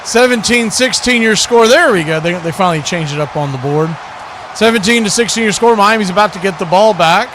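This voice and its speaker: Man, American, high-pitched sports play-by-play caller, live stream host, noticeable background noise. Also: fairly quick.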